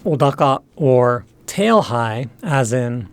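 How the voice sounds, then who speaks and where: A man narrates an instructional lesson in a measured, explanatory manner. His voice sits at a middle pitch and is recorded very dry, with no room sound. Key terms are set out with careful enunciation. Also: animated, clean tone, no room sound. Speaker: teacher presenting a language lesson.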